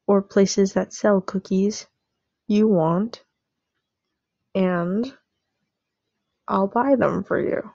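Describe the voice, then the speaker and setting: Woman acting a creaky, flustered young boyfriend. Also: medium pitch, mellow, dry recording, muffled recording, heavily acted. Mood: nervous bargaining. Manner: stammering, apologetic, pleading. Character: sheepish, pleading young boyfriend caught stealing a cookie. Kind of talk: roleplay audio drama, addressing the listener.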